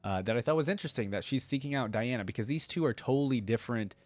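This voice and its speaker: A man's voice: medium pitch, lively intonation, quick pace, darkish tone, dry recording. A man hosting a reaction video, reading aloud and adding casual commentary of his own.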